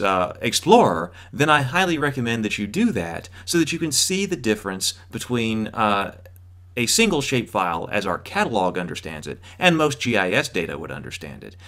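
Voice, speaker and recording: A man's voice: medium pitch, a bit bright. A man in his thirties giving an explanatory video lecture as an instructor, emphatically restating and rephrasing his points for clarity.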